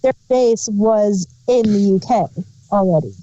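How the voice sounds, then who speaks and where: Female podcast co-host, playful and halting, mellow tone, slow casual delivery. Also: medium pitch, dull audio, slight background noise. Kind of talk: casual review chat.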